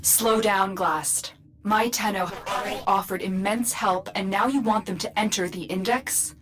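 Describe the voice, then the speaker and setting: A woman's voice: medium pitch, unhurried, natural voice. Firm, protective woman acting, crowd behind. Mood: concerned objection. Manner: firm, cautioning. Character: protective, wary female ally, firm and concerned. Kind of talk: video game dialogue.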